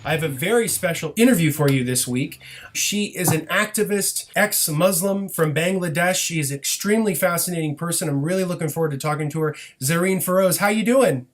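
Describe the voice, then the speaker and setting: A man's voice: fairly high pitch, a little fast, soft background music. A man hosting a podcast interview, upbeat and encouraging toward his guest. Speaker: podcast host.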